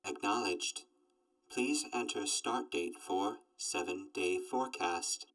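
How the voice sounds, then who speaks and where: A man's voice: on the low side, slow pace, fairly bright tone, recorded from a distance, low-quality recording. A man playing an emotionless robot that delivers formal, stilted forecasts in an audio drama. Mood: flat, mechanical. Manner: stilted, mechanical, formal announcements. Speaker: robot companion reading forecasts. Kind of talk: post-apocalyptic audio drama.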